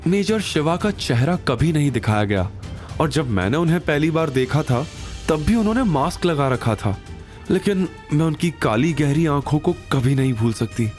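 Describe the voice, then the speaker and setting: A man's voice: on the high side, lively intonation, moderate pace, darkish tone, faint music behind, pitched above the performer's own voice, lightly acted. A man voicing a bubbly, playful young woman with a light, teasing manner in an audio drama. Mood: wistful admiration. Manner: light, chirpy, casual.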